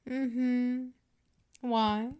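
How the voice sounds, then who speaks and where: A woman playing a dominant, patient female vampire mistress in an ASMR roleplay, teasing, menacing and condescending in a deliberate delivery. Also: fairly high pitch, little pitch movement, a little mellow, clean tone, muffled recording. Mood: teasing, possessive. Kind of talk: ASMR roleplay, scripted monologue.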